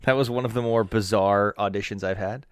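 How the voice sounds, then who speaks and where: A man's voice: lowish voice, brisk, clear, resonant tone, no echo, studio quality. A man co-hosting a casual podcast while feeling sick, telling rambling, profane, self-mocking stories. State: ill, under the weather. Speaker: podcast co-host. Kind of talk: casual chat.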